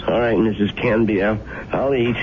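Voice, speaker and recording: Halting young boarder, noisy recording. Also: male voice, lowish voice, fairly even intonation. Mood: agreeable. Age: young adult. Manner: halting, placating, coughing. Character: sly, ingratiating young male boarder feigning concern. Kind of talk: 1970s radio drama acting. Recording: muffled, very noisy old radio broadcast.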